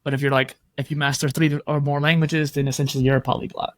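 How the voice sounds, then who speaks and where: A male podcast host with a slightly raised pitch, speaking over a video call. He rambles easily, fills gaps with "like" and keeps the chat relaxed. The recording is dry and close. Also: fast talker, no room sound. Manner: rambling, conversational, frequent "like". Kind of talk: casual interview conversation. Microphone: video call microphone.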